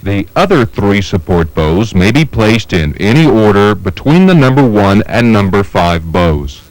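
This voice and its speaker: A man reading scripted instructional narration for a training film in a measured, methodical manner. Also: fairly low pitch, big pitch swings, slight background noise, rough recording. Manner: measured, methodical, step-by-step delivery.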